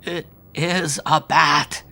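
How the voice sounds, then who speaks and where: A man voicing a terrified young man who sobs and shrieks in panic, with a bright, forceful tone. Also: fairly high pitch, flat delivery, extremely slow, vocal fry, voice pushed up, heavily acted. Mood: sobbing. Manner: sobbing, shrieking, feverish.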